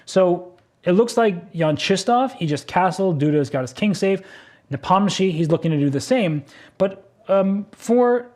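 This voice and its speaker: A man's voice: high voice, lively intonation, a little slow, a little mellow. A man commentating a blitz chess game, exclaiming at surprising moves and laughing easily. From United States.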